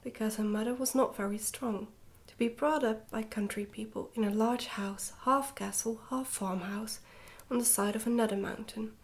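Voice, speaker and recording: A woman reading a storybook in a soft-spoken ASMR style, slipping often into a whisper, with a gentle, hushed delivery. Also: on the high side. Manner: gentle, hushed delivery with some stumbles over words. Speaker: ASMR reader, channel host.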